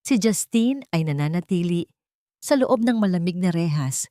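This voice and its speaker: Low-voiced Filipino woman narrating a sentimental story. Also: lively intonation, moderate pace, warm tone, dry recording, studio quality. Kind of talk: scripted dramatic audio story narration.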